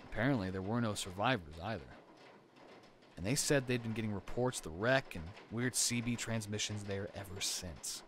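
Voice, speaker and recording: A man playing an easygoing, affectionate young boyfriend in an intimate roleplay audio, telling ghost stories in a casual, slangy way with thinking pauses. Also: lowish voice, a bit bright, natural voice. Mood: hushed suspense. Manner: casual, slangy, hesitant pauses, storytelling aloud. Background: ambient noise of a storm.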